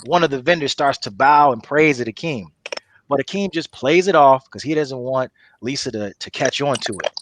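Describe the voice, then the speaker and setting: A man's voice: a little high, fairly bright tone, a little hiss or hum. A man narrating stage directions for a comedy table read in a casual storytelling manner.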